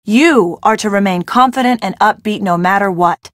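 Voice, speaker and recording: A woman's voice: medium pitch, normal speed, no echo, studio quality, natural voice. An actress playing a spirited, earnest young woman in a video game, a loyal and anxious friend who is wry and plucky. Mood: wry, determined.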